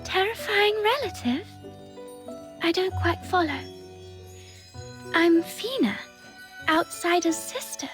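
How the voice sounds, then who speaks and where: A woman voicing a gentle, frail, imaginative young girl in a video game, with soft, wondering speech. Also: very high-pitched, expressive, slow pace, long pauses, over music, exaggerated voice. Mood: gentle, welcoming. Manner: soft, wondering, storybook-like phrasing.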